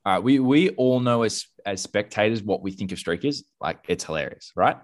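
A man's voice: lowish voice, expressive, moderate pace. A young Australian man co-hosting a podcast, musing aloud in casual, slangy chat. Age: young adult. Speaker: podcast co-host.

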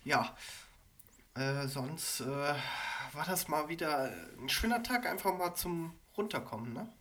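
Man with a cold, higher voice. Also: expressive, unhurried, pushed and bright. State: has a cold. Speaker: podcast co-host. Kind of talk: casual chat.

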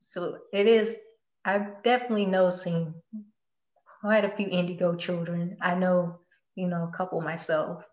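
Soft-spoken woman host, roomy lo-fi sound. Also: lowish voice, fairly even intonation, normal speed. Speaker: podcast host. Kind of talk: casual podcast chat.

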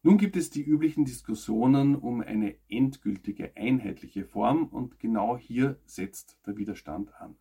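A man reads scripted news as a presenter, in measured, emphatic phrases that fall away at sentence ends. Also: mid-range voice, creaky, dark tone, slightly rough.

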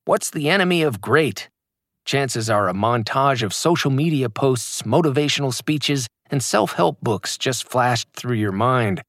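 A man's voice: medium pitch, no echo, studio quality. A man reading his own fitness audiobook aloud in a scripted, emphatic, motivational delivery.

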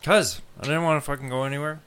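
A man's voice: on the high side, steady tone, quick pace, fairly bright tone, smooth. A man co-hosting a casual podcast, joking around in a laid-back, profane way. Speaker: podcast co-host.

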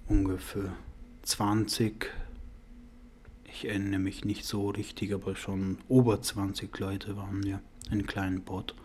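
Slow-speaking young Afghan man, non-native. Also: fairly low pitch, completely flat delivery, darkish tone, slight background noise. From Afghanistan and Iran. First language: not German. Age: 20s. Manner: halting, simple non-native phrasing. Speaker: podcast interviewee. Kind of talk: conversational personal account.

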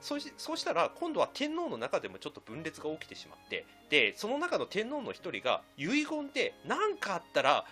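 A man explaining history casually as a panelist on a discussion show, lively and colloquial, voicing quotes as he goes. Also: high-pitched, fairly quick, few pauses, soft background music. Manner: animated explainer, acting out quotes in colloquial speech.

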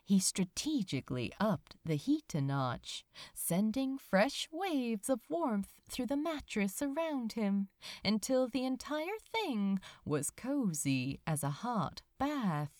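A woman narrating a written story as an audiobook reader, in an expressive, measured storytelling manner. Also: on the high side, dry recording, clean studio sound. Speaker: fan fiction audiobook reader.

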